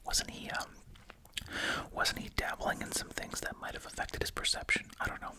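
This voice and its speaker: An ASMR host whispers right up at the microphone in a breathy, unvoiced voice. The delivery mixes reading aloud with casual chatty asides, with gum chewing through the words. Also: moderate pace. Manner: chewing gum while talking.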